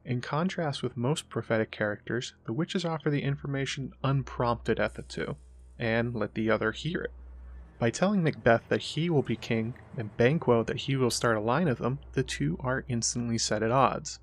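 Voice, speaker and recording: A man's voice: mid-range voice, normal speed. A man hosting a literary video essay, reading scripted explanatory narration.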